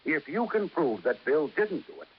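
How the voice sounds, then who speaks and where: An actor playing an earnest, hesitant insurance company man in a 1950s radio drama, coaxing and halting. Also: fairly high pitch, very animated. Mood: coaxing, concerned. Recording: dull, faintly hissy vintage radio recording.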